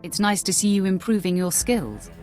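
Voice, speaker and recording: A woman's voice: mid-range voice, animated, normal speed, darkish tone, soft background music, close to an everyday voice. An actress playing a composed, steely female Sith warrior in a video game, controlled, formal and confident. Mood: composed, assured. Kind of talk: video game dialogue acting.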